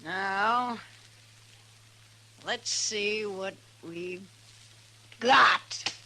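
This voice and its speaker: An actress playing a brash, bossy, proud frontier wife with a hot temper and folksy bluster in a 1950s radio western. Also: female voice, medium pitch, extremely slow, bright, forceful tone, full character voice. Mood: guarded. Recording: noisy 1950s radio recording with hum.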